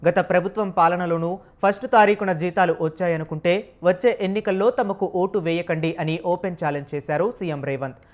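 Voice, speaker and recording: Fast-talking news presenter. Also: mid-range voice. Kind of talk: scripted news narration.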